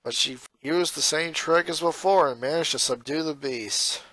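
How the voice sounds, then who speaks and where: Male narrator for scripted story reading, slightly high register, dry recording. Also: normal speed, sharp tone, dry recording, low-quality recording. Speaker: fan fiction reader, narrator.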